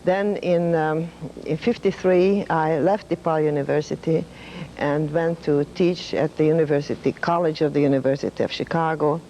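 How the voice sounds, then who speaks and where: An older woman from Hungary with a Hungarian accent, a retired professor telling her life story in a measured way, with many fillers. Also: sits low, fairly even intonation, a little hiss or hum, low-quality recording. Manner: measured, with fillers and soft laughs. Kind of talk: reflective storytelling.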